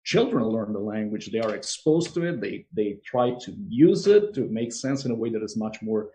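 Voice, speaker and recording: A man's voice: fairly low pitch, expressive, normal speed, warm tone, slight background noise, rough recording. A man speaking as a guest on a video call interview, hesitant with many fillers. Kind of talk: conversational interview.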